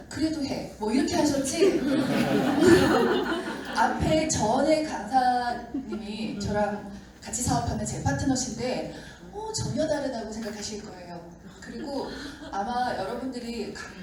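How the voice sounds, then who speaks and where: Slightly hoarse woman speaking in an echoey hall. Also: a little high, darkish tone. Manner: chatty, acts out doubters' lines. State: slightly hoarse from a sore throat. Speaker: seminar speaker. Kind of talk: business seminar talk to an audience. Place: lecture room with audience. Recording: echoey hall mic from far off with noise.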